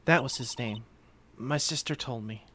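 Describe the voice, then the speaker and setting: A man voicing a forlorn, soft-spoken young orphaned woman who is a spirit medium, halting and earnest, in an amateur game voice-over. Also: medium pitch, a little hiss or hum, lightly acted. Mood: somber.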